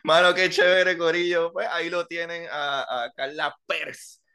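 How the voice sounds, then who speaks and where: A man's voice: a little high, flat delivery, unhurried, sharp tone, clear, resonant tone. A young adult man with a Puerto Rican accent, hosting a podcast in laid-back casual chat, laughing often and slipping in English words. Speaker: podcast host.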